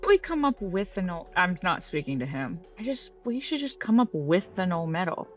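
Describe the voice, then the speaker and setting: A woman's voice: fairly low pitch, sing-song, normal speed. A woman chatting and roleplaying as a player in a tabletop game, playful and informal. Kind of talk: casual banter and roleplay. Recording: muffled lo-fi, light music behind.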